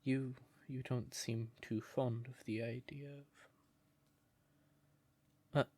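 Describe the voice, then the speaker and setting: Slow, shy crow turned human, acted by a voice actor. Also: medium pitch, steady tone, darkish tone. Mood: anxious, tender. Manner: hesitant, flustered stammering. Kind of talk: intimate comfort roleplay audio acting.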